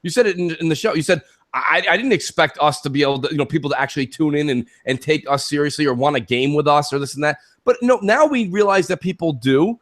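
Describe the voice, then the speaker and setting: A man's voice: fairly high pitch, fast talker, a bit bright. A man co-hosting a gaming talk show, speaking emphatically in opinionated, rhetorical bursts and often checking in with "okay" and "listen".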